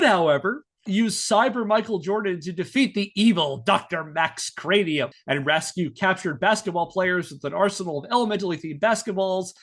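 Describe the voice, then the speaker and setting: A man's voice: sits high, fairly bright tone. A man hosting a podcast, explaining prepared material in an instructive way and spelling things out in careful lists. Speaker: podcast host and presenter.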